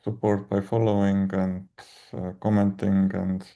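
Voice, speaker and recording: A man whose first language is Estonian, speaking English slowly and haltingly. His voice is very deep and dark, with a gravelly fry. He talks over a video call. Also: monotone. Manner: slow and hesitant, many fillers. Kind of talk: panel discussion on a video call.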